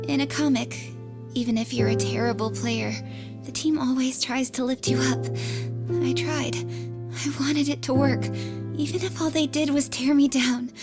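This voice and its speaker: A woman's voice: high-pitched, close to an everyday voice. An actress playing a shy, earnest teenage schoolgirl who has been bullied, in an English game dub. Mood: downcast. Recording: music behind the voice. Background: instrumental background music.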